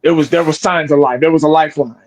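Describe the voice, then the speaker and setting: A man in his forties speaks in a drawl, his voice sitting a touch high for a man. He talks bluntly and profanely as a sports video creator, rambling and restarting his sentences in a loose, casual chat. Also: fairly even intonation, fast, darkish tone, a little hiss or hum. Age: 40s.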